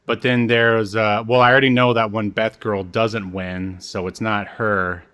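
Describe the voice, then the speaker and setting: A man's voice: on the low side, flat delivery, unhurried, fairly bright tone, clear, resonant tone. A man co-hosting a podcast in casual, unscripted chat, hesitant and understated with dry humour. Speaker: podcast co-host.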